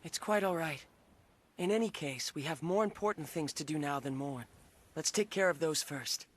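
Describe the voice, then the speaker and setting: A man's voice: fairly high pitch, normal speed, natural voice. A man playing a polite, composed young alien technologist in a video game, formal and courteous in speech. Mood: composed, solemn. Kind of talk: dramatic video game acting.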